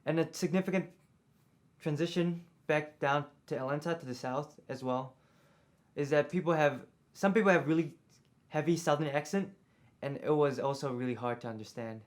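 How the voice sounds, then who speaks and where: A man's voice: a little high, moderate pace. A man from Taiwan, an international student, recalling his early struggles in an interview. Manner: reflective, recalls struggles with English.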